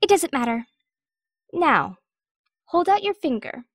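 Woman playing a high-pitched bossy young girl. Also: big pitch swings, a little slow, no echo, clean studio sound, exaggerated voice. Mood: impatient. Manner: sassy, exasperated. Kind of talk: audio drama acting.

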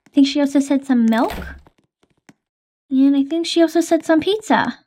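A woman's voice: high voice, moderate pace, warm tone, a little hiss or hum. A young woman hosting and narrating a game roleplay in a casual, hesitant way with many ums.

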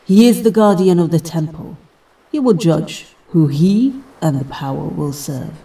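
Audio drama warrior guardian, played by a woman: female, immortal, stern, commanding, deep-voiced, slightly off-mic. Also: expressive, unhurried, dark tone, distant mic, low-quality recording. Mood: solemn. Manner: solemn, commanding.

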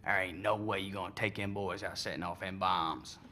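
A sardonic Southern American soldier in a war film. His voice is fairly low, with a folksy drawl. There is faint noise behind him. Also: steady tone, a little fast, bright, forceful tone.

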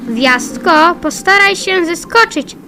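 A woman's voice: extremely high pitch, lively intonation, slow, background noise, exaggerated voice. A woman playing a polite, eager little child in a children's audio play.

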